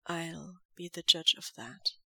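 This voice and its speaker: A woman voicing a reserved, steady young man who speaks low, softly and tenderly. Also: gravelly, breathy edge, no room sound, exaggerated voice. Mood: quiet tender. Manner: low, hushed and measured.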